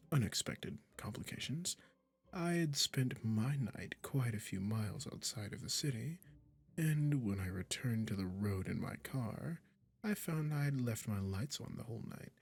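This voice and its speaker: A low, gravelly male witch acted by a man, possessive and obsessed, speaks in an unhurried, deliberate drawl. He coaxes with condescending sweetness and quiet menace, in an intimate roleplay audio drama with music behind him. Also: full character voice. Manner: soft coaxing, condescending endearments, controlling, deliberate.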